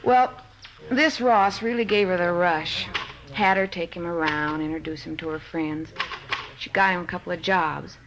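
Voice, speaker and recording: Blunt, slangy coffee-shop worker. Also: female voice, on the low side, fairly even intonation, normal speed, a bit bright. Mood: offhand, busy. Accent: American. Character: plain-spoken working woman at a coffee shop, scornful. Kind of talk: 1950s radio drama acting. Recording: noisy old radio, typewriter clicking behind.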